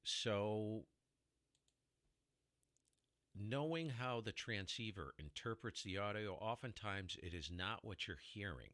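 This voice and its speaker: Low-voiced baritone man explaining gear. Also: lively intonation, a little slow, fairly bright tone, no echo. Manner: rambling, self-interrupting explainer with mic tests. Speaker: ham radio tutorial host. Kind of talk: casual how-to demonstration. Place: heavily dampened home studio room.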